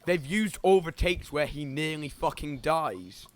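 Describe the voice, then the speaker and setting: A man's voice: a little high, lively intonation, unhurried. A man co-hosting a podcast in casual chat on an online call, blunt and teasing, stumbling into stories. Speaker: podcast co-host.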